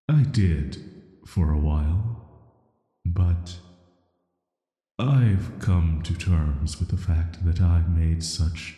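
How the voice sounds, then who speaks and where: A man voicing an ancient banished villain in an audio roleplay, speaking softly, flatly and deliberately with a flirty, dangerous intimacy. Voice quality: soft, low voice. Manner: flat, slow, deliberate, intimate. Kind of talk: atmospheric audio roleplay, sleep aid. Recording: echoey voice with long reverb.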